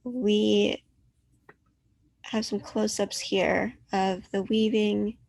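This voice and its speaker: A woman curator introduces the artists in a flat, even tone with a lot of vocal fry. She talks politely and fills her pauses with ums, and her voice sounds close and dry, recorded at home in her apartment. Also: mid-range voice, no room sound. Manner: polite, filler-heavy presenting. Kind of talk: hosting a virtual artist talk.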